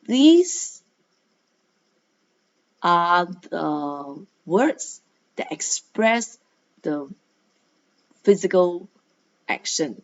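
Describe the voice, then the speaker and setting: A woman with a slightly low voice teaches through a read-aloud in a very slow, deliberate way. She poses questions to the learner and leans on key words as she explains them. The recording is a little dull and lo-fi. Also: very animated. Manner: instructive, rhetorical questions with emphatic key words. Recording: dull, lo-fi sound.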